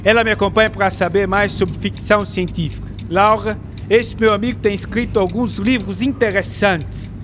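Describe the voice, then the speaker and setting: A man playing a confident, kindly male French novelist in a radio drama, assured and warmly formal. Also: high-pitched, fairly even intonation, normal speed, hardly any pauses, faint music behind.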